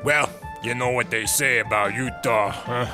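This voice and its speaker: A man's voice: mid-range voice, fairly even intonation, moderate pace, close to an everyday voice. Man playing a jovial, bawdy husband over music. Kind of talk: comedy audio drama acting.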